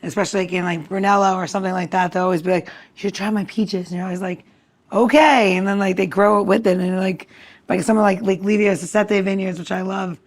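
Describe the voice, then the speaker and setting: A woman's voice: medium pitch, fairly even intonation, fast talker. A woman co-hosting a podcast in casual chat, talking in a very informal, chatty and emphatic way. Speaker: podcast co-host.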